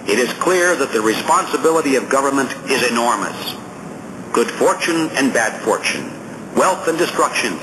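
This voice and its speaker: A man reading nonfiction as an audiobook narrator, in a formal, measured and emphatic way with deliberate phrasing. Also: mid-range voice, noisy background.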